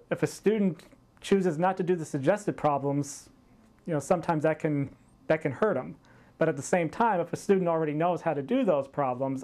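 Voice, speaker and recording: A man in his forties talking casually about his work as a college math instructor, in a hesitant, measured manner. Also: fairly high pitch, darkish tone. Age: 40s.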